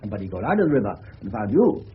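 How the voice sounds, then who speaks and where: Genial animated English detective. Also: male voice, on the low side, quick pace. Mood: explanatory, relishing. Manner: hesitant, courteous, explanatory. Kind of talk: 1970s British radio drama acting. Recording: muffled old radio recording with hiss.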